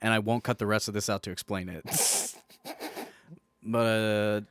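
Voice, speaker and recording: A man's voice: lowish voice, fairly even intonation, unhurried, a bit bright, clean tone, no echo. A man co-hosting a podcast in casual, chatty banter, jokey and prone to rambling. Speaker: podcast co-host.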